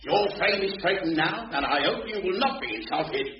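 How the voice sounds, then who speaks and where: An actor playing a swaggering gangster boss in a radio sitcom, theatrical and mock-courteous. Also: male voice, high voice, expressive, moderate pace, vocal fry, crowd noise. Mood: apologetic, admiring. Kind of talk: radio sitcom acting before an audience. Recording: distant, echoey, muffled recording with audience.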